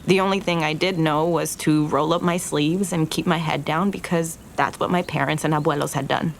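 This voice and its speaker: An actress playing an earnest, regretful young restaurant owner in an audio drama, confessing in a halting, naturalistic way. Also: female voice, low voice, steady tone, creaky, a little hiss or hum. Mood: solemn, reflective.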